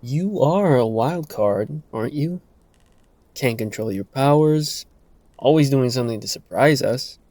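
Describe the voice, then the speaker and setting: A man's voice: mid-range voice, lively intonation, unhurried, mellow, dry recording, pitched above the performer's own voice. Cocky young fantasy warrior, played by a male narrator: playful needler, mid-range voice lifted from the narration, dry close sound. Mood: playfully mocking. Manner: playful, taunting. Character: cocky teasing young male fledgling swordsman. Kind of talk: dark fantasy audiobook character dialogue.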